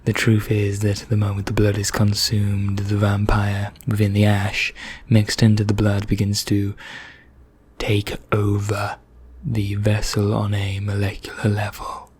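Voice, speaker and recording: A man playing a vain, condescending vampire in an ASMR roleplay, speaking formally with measured pauses in a husky, breathy voice that nears a whisper. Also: fairly low pitch, completely flat delivery, darkish tone. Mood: ominous, explanatory. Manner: slow, measured, formal, pompous, with deliberate pauses. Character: vain, condescending male vampire elder, calmly sinister.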